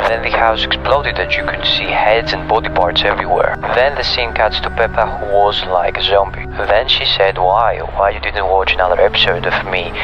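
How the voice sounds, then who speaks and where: A man's voice: lowish voice, muffled recording. A man in his twenties narrating scripted creepy horror stories. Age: 20s.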